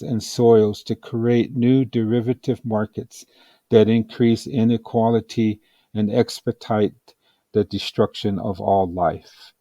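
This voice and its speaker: A man with a dark, mellow voice narrates a scripted advocacy text. His delivery is very slow and deliberate, with a solemn, measured weight. The sound is dry and close, free of room reflections. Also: on the low side, dry recording. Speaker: audiobook reader.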